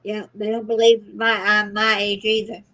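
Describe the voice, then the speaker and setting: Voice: mid-range voice, level tone, moderate pace, sharp tone, poor audio. A guest streamer in an easygoing, casual live chat.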